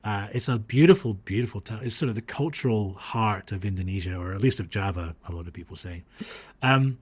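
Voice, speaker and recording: Low-voiced man, reflective and hesitant. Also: fairly quick. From born in Australia, grew up across the US and Dubai. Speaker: podcast guest, documentary filmmaker and podcaster. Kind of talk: conversational interview answers, anecdotal storytelling.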